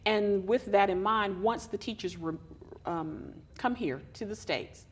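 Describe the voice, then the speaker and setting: A woman hosting a TV interview, asking questions in a lively, chatty way. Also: medium pitch, unhurried, slight background noise. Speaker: show host.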